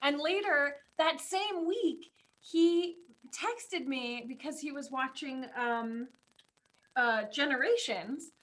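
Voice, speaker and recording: High voiced lady podcast host just chatting, animated storyteller. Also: slow pace. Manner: animated storytelling with acted-out quotes. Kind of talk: casual chat, reminiscing.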